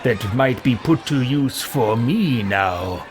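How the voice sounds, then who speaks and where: A man roleplaying an arrogant, scheming inquisition leader in a video game, with haughty, deliberate phrasing. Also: medium pitch, lively intonation, music in the background. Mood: haughty curiosity. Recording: crowd and outdoor game noise behind.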